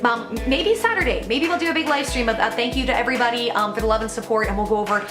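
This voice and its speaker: A woman hosting a commentary vlog update, blunt, profane and emphatic, with a loud voice that sounds worn from overuse. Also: a little high, quick pace, music in the background. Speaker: commentary channel host. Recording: echoey room.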